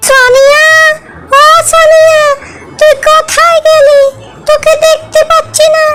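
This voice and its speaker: A woman's voice: very high voice, flat delivery, unhurried. A woman voicing a frail, loving old village grandmother in a cartoon, speaking with tender concern. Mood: tender worry. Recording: music behind the voice.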